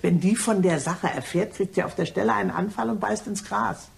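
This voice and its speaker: A woman playing a chatty, indiscreet, cheerful cleaning woman in a German crime radio play, gossiping in an animated way. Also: mid-range voice, fairly quick, creaky. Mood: gleeful gossip.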